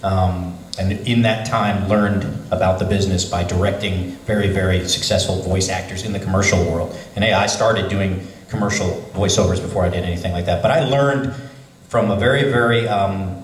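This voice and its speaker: A man talking at a convention panel, telling his career story in a chatty, animated way. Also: deep voice, moderate pace. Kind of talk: casual panel talk. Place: large convention hall. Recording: echoey hall, voice from far.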